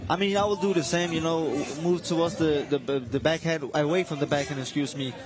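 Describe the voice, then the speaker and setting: Male boxer from Denmark as guest analyst, rapid and eager delivery. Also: fairly high pitch, steady tone, crowd noise. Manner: eager, restarts phrases, chatty. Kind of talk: live fight commentary.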